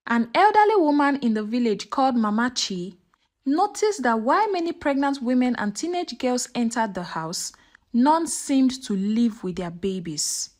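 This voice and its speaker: A woman narrates a dramatic folktale as a storyteller. Her voice sits fairly high and swings widely in melody, giving the scripted narration a very animated delivery. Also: normal speed.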